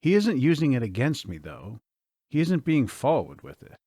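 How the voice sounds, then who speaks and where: A man reading a first-person romance audiobook in a measured, steady way. His voice sits at an ordinary pitch. The recording is close and dry, with no room sound. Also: no room sound. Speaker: audiobook narrator.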